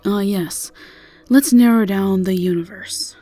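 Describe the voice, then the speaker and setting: A woman's voice: mid-range voice, unhurried, dark tone, dry recording, natural voice. Woman acting a rude, dryly mocking slacker. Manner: mocking, dry. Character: rude, sardonic, lazy woman who mocks everyone. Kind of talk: fantasy audio roleplay acting.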